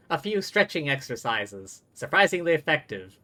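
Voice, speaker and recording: A man's voice: a little high, very animated, normal speed, sharp tone. A man livestreaming a game with casual commentary, chatty and thinking aloud. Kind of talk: casual live stream commentary.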